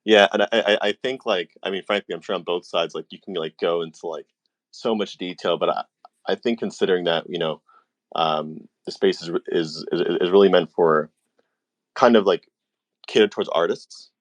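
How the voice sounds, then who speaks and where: Male moderator, very low voice, dry close sound, casual and hesitant, circling toward each question. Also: normal speed, no room sound. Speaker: interviewer, moderator.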